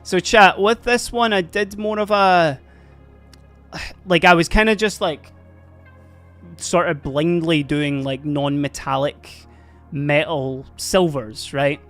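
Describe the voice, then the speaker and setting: A live-streaming miniature painter chatting casually and giggling a lot while painting, a little hoarse from laughing. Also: medium pitch, takes it slowly, long pauses, a bit bright, soft background music. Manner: chatty, giggly, rambling, addresses chat.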